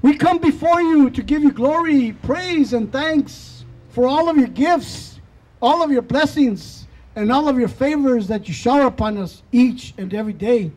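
A man leading a solemn outdoor prayer in a slow, measured way, speaking into a handheld mic. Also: very high voice, darkish tone, low-quality recording. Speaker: prayer leader. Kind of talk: spoken prayer. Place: outdoors in a park.

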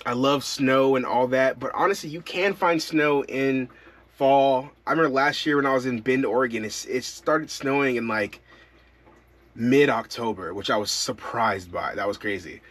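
A man in his thirties talks casually to camera from inside his camper van. His voice sits at an ordinary middle pitch, and he rambles along in an easygoing way, full of likes and ums. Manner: relaxed, rambling, with frequent fillers. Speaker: van-life vlogger.

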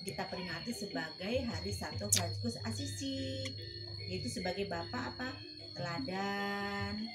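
A middle-aged woman tells a story informally, in colloquial, halting phrases with frequent filler words. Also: medium pitch, over loud music. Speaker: mother telling a story for a kindergarten storytelling contest. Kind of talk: informal, unscripted storytelling to a child. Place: small room at home, indoors.

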